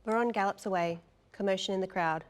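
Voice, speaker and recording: A woman's voice: medium pitch, fast. A woman narrator reading stage directions and scene descriptions at a table read.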